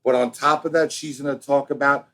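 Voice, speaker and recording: An American man co-hosting a podcast in casual, bantering talk, with an animated, showy and teasing manner. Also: medium pitch, fairly quick. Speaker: podcast co-host.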